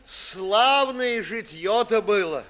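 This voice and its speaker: A man's voice: high-pitched, animated, slow, a bit bright, exaggerated voice. A male actor playing a henpecked retired officer, a listless, resigned husband who sighs through verse in a 1950s radio play. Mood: weary, nostalgic. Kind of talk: 1950s Russian stage verse acting for radio.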